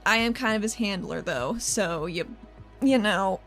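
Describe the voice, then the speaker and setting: A woman playing an earnest, frank female handler in a tabletop game, explaining herself in an informal, halting way. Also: mid-range voice, fairly bright tone, soft background music. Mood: earnest, awkward. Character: earnest, frank female handler defending her troublesome partner.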